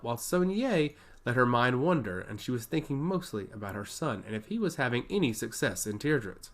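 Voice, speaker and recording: A man reading scripted narration of a fantasy story. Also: mid-range voice.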